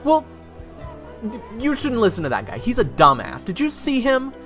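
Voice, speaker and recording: A man playing a loyal, hot-headed human companion in an audio fantasy drama, talking in a casual, halting, earnest way. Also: very high-pitched, wildly swooping pitch, fairly bright tone, soft background music, full character voice. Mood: indignant. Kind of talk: audio roleplay drama with first-person narration.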